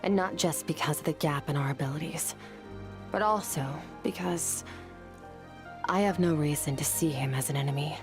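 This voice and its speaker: A woman playing a stoic young swordswoman in a video game, somber and driven, speaking in a grave, measured way. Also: sits low, over music. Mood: grave, respectful. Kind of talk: scripted video game voice acting.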